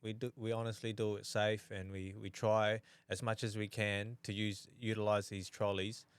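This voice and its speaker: Smooth low-voiced man, easygoing talker. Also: flat delivery, dry recording. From New Zealand. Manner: easygoing, halting, lots of restarts. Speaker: podcast co-host. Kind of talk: casual chat.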